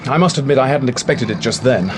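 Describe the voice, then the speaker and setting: An actor playing an earnest, protective village doctor with a British accent, speaking in an educated, emphatic, matter-of-fact way in a radio drama. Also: male voice, mid-range voice, lively intonation, fast talker, background noise, natural voice. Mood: earnest, defensive. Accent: British English.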